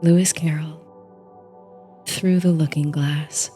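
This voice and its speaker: A woman with a warm, slightly low voice narrates a calming bedtime story in the manner of a guided meditation. She speaks very softly and unhurriedly, with breathy phrase endings. Quiet music plays behind her. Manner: slow, soothing, unhurried delivery. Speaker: host and narrator of a sleep story series. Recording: soft music under the voice. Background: soft music.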